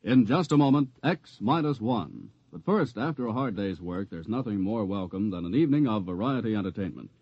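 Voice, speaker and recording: A man's voice: lowish voice, lively intonation, brisk, hardly any pauses, husky, no room sound. Dry-sounding male radio network announcer. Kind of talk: 1950s radio promo, scripted. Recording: dry, muffled old radio recording.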